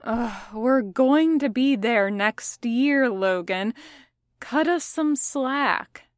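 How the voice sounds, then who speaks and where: A woman's voice: on the high side, lively intonation, drawn-out words, no room sound, studio quality. A woman voicing a teasing, theatrical, easygoing schoolboy with playful, dramatic delivery in an audiobook story. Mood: mock-weary.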